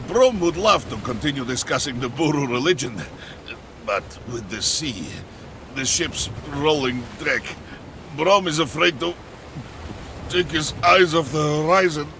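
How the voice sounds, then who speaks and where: Big bashful warrior, gravelly creak, unhurried, polite, hesitant, noisy ambience, game acting. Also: male voice, fairly high pitch, animated, full character voice. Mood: flustered, queasy. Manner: hesitant, flustered, refers to himself in third person. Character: big, polite, bashful, good-natured warrior who gets seasick. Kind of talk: comedic dramatic video game acting. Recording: loud ambient noise behind.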